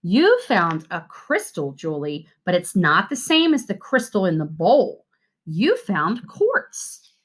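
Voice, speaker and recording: A kindly storybook father, voiced by a woman reading aloud, explains patiently and instructively. The delivery is slow and careful, with lively pitch on the key words. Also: breathy edge, full character voice. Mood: patient, explaining.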